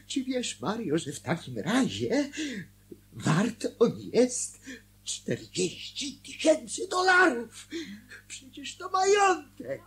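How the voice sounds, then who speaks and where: An actor in a radio drama playing a weary, bitter middle-aged husband, husky and volatile. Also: male voice, sits high, fairly bright tone, full character voice. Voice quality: husky, breathy. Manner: emotional, volatile, dragging. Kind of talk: Polish radio drama acting.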